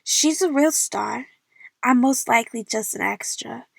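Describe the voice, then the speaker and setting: A woman's voice: fairly high pitch, measured pace, vocal fry, no echo, heavily acted. A young woman playing an eager, chatty movie fan who narrates her adventure in the first person for an audio drama. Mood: gushing, stalling.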